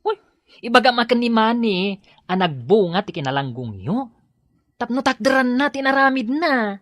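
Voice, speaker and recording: Frank, teasing gal pal, highish very animated voice, exclamatory, Ilocano audio drama female actor. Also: moderate pace, dry recording. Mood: alarmed, advising. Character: frank, nosy, teasing female workmate and friend.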